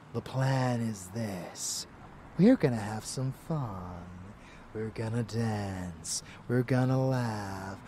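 Man acting a slow, seductive, murderous vampire lover. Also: fairly low pitch, mellow, slight background noise. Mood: menacing, gleeful. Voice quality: breathy, often dropping into a whisper. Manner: slow, teasing, menacing. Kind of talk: intimate ASMR audio roleplay.

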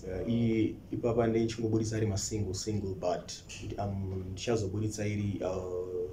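Young zimbabwean guy, low mellow voice, calm short answers, roomy studio sound. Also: fairly quick. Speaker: musician guest. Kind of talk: studio interview. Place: indoor TV studio. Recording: roomy studio with faint noise.

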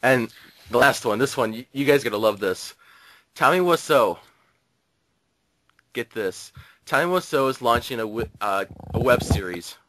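A man's voice: medium pitch, lively intonation, pushed and bright, rough recording. A man co-hosting a casual web show, talking in a hesitant way with many fillers and restarts. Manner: hesitant, halting with fillers and repeats. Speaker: podcast co-host. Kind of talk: casual chat.

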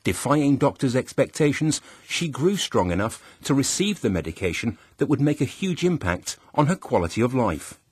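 Husky male narrator, lively intonation. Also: mid-range voice, moderate pace, few pauses, no room sound, clean studio sound. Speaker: documentary narrator. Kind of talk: scripted narration.

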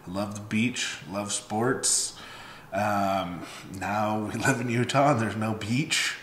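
Laconic low-voiced man from Hawaii. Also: slow pace, a bit bright. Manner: laconic, short plain answers. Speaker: vlogger. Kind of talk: casual chat. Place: bright home kitchen, indoors. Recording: echoey room with faint noise.

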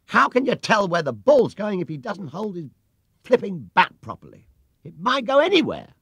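A man voicing a loud, aggrieved bowler who yells and grumbles in a storybook reading. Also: sits high, huge pitch swings, normal speed, slightly rough, dry recording, studio quality, voice pushed up, full character voice. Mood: indignant yelling. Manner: indignant, yelling and grumbling.

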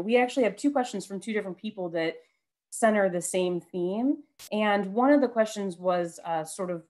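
A woman's voice: on the low side, a little mellow. A woman in her thirties moderating an online panel, relaying questions and adding to them through a headset mic. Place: room at home, video call. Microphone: headset with boom mic.

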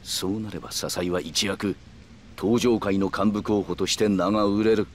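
An actor playing a calm, calculating middle-aged yakuza man who speaks in polite, measured, formal phrases in a video game. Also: on the low side, a little hiss or hum, lightly acted. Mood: cool, persuasive. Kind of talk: Japanese video game cutscene acting.

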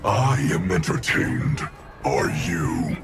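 A proud, severe warrior in a video game cutscene. His voice is gravelly and fairly low, with terse, defiant lines that swing in pitch. Loud music plays beneath him. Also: sing-song, takes it slowly, full character voice. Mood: cold, terse. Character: grim, proud male warrior in dark armor. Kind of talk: cinematic video game acting. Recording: loud cinematic music underneath.

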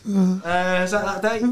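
A man's voice: high voice, background noise. Awkward giggly young man from Manchester. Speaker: podcast co-host. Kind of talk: improvised comedy sketch. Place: small home podcast studio. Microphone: studio mic on a stand.

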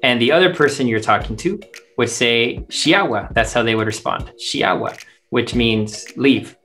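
A man narrates a language lesson in a mid-pitched voice. He explains words and their meanings clearly and methodically, as a teacher would. Music plays behind him. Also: normal speed, pushed and bright. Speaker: teacher, translator.

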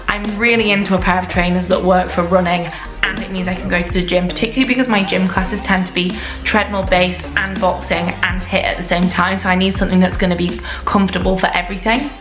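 A young woman in her twenties chatting to camera at home, reviewing products with gushing enthusiasm. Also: fairly low pitch. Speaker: lifestyle vlogger. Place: indoor room at home. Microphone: camera at desk distance. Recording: echoey room with faint music.

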